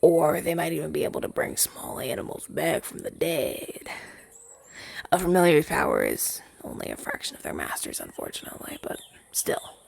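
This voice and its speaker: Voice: mid-range voice, huge pitch swings, moderate pace, gravelly, darkish tone, heavily acted. A man voicing a shy, earnest crow familiar in an ASMR roleplay, hesitant and rambling, with stammers. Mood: earnest, explaining.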